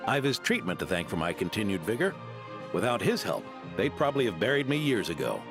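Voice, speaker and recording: Give me a steady, kindly old game knight, deep and lively over background music. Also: male voice, brisk. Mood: calm. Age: older. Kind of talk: video game voice acting.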